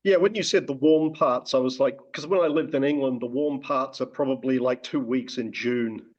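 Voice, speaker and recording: A man's voice: mid-range voice, moderate pace, a little mellow. A man interviewing a guest in a casual video call chat, with a wry, joking, conversational manner. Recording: dull lo-fi video call audio.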